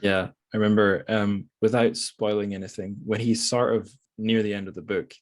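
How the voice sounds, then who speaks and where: A man with a notably low voice talks casually as a podcast host. He feels his way through thoughts about a book, hesitating, doubling back and rewording as he goes. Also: flat delivery, moderate pace. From Scotland. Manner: hesitant, self-correcting, rambling.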